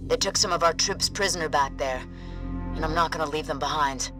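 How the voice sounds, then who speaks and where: A woman's voice: low-pitched, fairly quick, natural voice. An actress playing a tough, defiant female supersoldier in a video game, talking in a terse, confident way. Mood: determined. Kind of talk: video game cutscene acting. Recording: music and vehicle noise behind.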